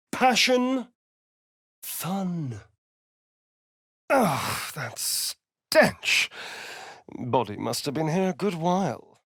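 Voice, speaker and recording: A man's voice: high-pitched, a bit bright, husky, dry recording, clean studio sound, heavily acted. A man playing a sly, centuries-old vampire spawn in a video game, arch, flamboyant and teasing, with precise enunciation. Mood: flirtatious, amused, then alarmed, disgusted. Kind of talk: video game character voice lines and narration.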